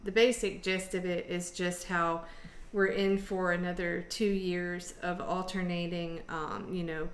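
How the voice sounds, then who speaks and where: Low-voiced woman, rambling, in an echoey room. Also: level tone, measured pace. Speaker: host of an online discussion. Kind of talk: casual group chat. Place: indoor room on an online video call. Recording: roomy echo, background noise.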